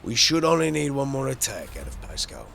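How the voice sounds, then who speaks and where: A man's voice: medium pitch, wildly swooping pitch, fairly quick, creaky, a bit bright. A man doing casual commentary as he plays a video game, muttering to himself. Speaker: gamer, playthrough host.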